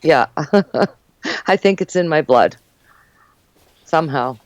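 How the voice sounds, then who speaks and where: A woman's voice: low-pitched, animated, takes it slowly, no echo. An older woman, born in Uruguay and raised in New Jersey and New York, telling her life story as an interview guest in casual chat, laughing often. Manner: warm, laughs often, with fillers.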